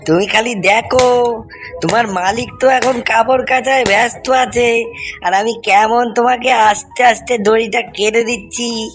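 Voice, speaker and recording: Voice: mid-range voice, steady tone, a little slow, lightly acted. A performer voicing a clever, friendly fox in a cartoon moral tale, talking in a chatty, coaxing way. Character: clever, carefree, friendly fox who coaxes and cautions. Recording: music bed under the voice.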